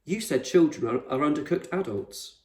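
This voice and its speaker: A man's voice: a little high, expressive, normal speed, darkish tone, pitched above the performer's own voice, close to an everyday voice. A man voicing an anxious, stubborn young boy in a storybook, urgent, questioning and argumentative. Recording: roomy echo, distant microphone.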